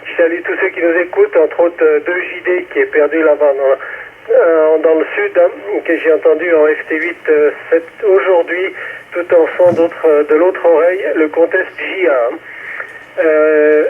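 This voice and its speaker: A man making a casual amateur radio check-in, hesitant and halting as he talks. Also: fairly high pitch, fairly even intonation. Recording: tinny radio link with faint noise.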